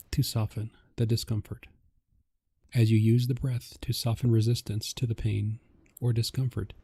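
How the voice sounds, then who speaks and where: Very mellow, husky man guiding meditation. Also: medium pitch, no room sound. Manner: gentle, calming, measured instruction. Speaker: meditation guide. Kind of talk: guided meditation narration.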